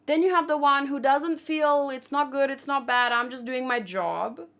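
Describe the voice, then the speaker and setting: A woman's voice: high voice, moderate pace, a bit bright. A woman giving casual, informal commentary as a host, thinking aloud and often questioning herself. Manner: informal, rambling, self-questioning. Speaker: host of a manga read-along channel.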